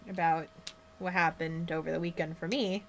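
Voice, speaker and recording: A woman in her twenties chatting casually while painting, quick to make fun of herself. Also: fairly low pitch, fairly even intonation, slight background noise. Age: 20s. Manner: chatty, self-deprecating.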